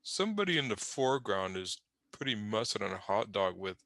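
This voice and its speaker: Man, bright forceful tone, lowish pitch, humorous improvised narration, conversational asides. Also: sing-song, fairly quick, gravelly, no room sound. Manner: wry, rambling, conversational asides. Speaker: panel narrator in a group comic reading. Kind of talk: improvised humorous scene description.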